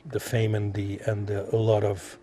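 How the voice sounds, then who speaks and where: A man from France with a French accent, answering interview questions as an artist, halting and repeating himself as he searches for words. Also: on the low side, completely flat delivery, dark tone. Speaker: visual artist being interviewed.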